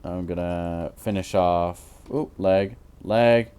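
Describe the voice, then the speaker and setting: A tired man in his twenties with a naturally deep voice, rambling through casual commentary while he builds in a game, full of fillers and restarts. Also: slow pace, warm tone, clean tone. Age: 20s. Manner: rambling, hesitant, many fillers. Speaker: Minecraft YouTuber.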